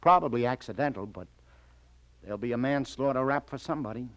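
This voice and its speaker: An actor playing a hard-boiled police detective, weary but firm, with terse, slangy delivery in old radio crime drama style. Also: male voice, mid-range voice, fast talker, fairly bright tone, no room sound, close to an everyday voice. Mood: businesslike. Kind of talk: 1940s-50s radio crime drama acting.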